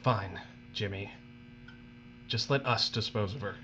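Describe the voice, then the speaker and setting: A man playing an anguished, defiant husband in an audio drama, with halting, strained speech. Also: fairly low pitch, pushed and bright. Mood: anguished pleading. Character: anguished, defiant husband protecting his wife. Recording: muffled audio with clear mains hum.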